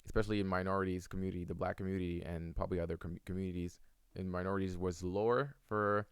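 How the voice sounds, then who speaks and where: A young man, a native French speaker, talks casually to his chat in a low, smooth voice. He rambles, full of ums and restarts. The sound is close and dry. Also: steady tone, fast talker, no room sound. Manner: rambling, many fillers and restarts. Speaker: streamer answering chat. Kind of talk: casual live-stream Q&A.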